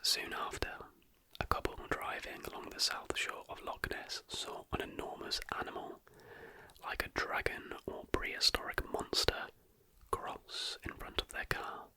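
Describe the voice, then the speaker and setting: An ASMR host reading scripted narration in a breathy, unvoiced whisper with soft, deliberate enunciation. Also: dry recording.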